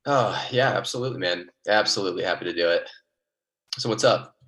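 Low voiced guy, sharp bright tone, wry and wordy chat. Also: brisk. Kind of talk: casual chat.

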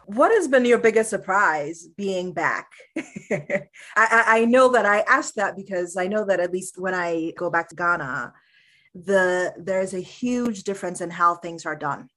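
A woman's voice: mid-range voice, lively intonation, unhurried, bright, forceful tone. A woman interviewing a guest conversationally, engaged and laughing easily.

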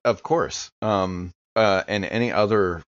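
A man with a fairly low voice role-plays in a tabletop game podcast. He sounds earnest and deliberate. The recording is dry and a little dull. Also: dry recording. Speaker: player in a role-playing podcast. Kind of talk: improvised in-character chat at a game.

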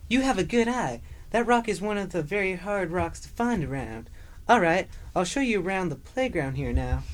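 Woman as a polite storybook panda fellow, kindly, reassuring, very lively intonation, children's audio tale. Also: lowish voice, normal speed, lightly acted. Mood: welcoming. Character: kindly, courteous male panda guide, gently advising.

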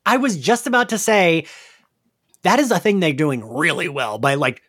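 A man's voice: on the high side, animated, normal speed, a bit bright. A man co-hosting a casual fan recap podcast in a chatty, gushing way. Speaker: podcast co-host.

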